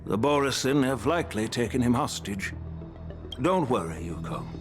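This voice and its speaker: Man voicing a calm old general over music. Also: medium pitch, moderate pace, lightly acted. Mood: reassuring. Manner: formal, steady, reassuring. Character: calm, authoritative old male general, a grandfather. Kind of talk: dramatic game voice acting.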